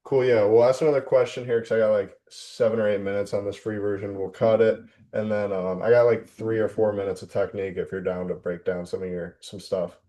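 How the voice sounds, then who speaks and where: A young man with a dark, relaxed voice on the low side hosts a casual interview. He talks informally, dropping in plenty of buddy-like slang. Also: fairly quick, few pauses. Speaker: interview host. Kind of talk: casual chat.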